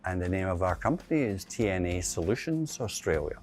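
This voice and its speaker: Very deep-voiced man over soft music. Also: very animated, brisk. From Scotland. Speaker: company co-founder. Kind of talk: interview telling a company story. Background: background music.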